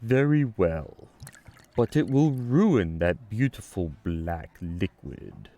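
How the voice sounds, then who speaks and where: A man playing a solemn, cryptic skeletal god in an audio drama, making slow and grandiose oracular pronouncements. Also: on the low side, sing-song, warm tone, heavily acted. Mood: grave, measured. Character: solemn, cryptic skeletal coffee god granting time travel.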